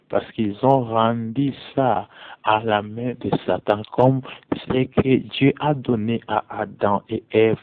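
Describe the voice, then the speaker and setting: A man preaching as a prophet in slow, deliberate French, his being English. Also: lowish voice, dry recording. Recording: tinny phone-like audio, dry.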